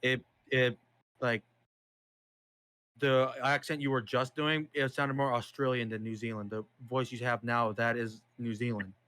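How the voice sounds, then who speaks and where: A man's voice: mid-range voice, level tone, a little slow, fairly bright tone, smooth, no echo. A man in an online voice chat argument, cross-examining with pressing, repeated questions. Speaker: debate participant.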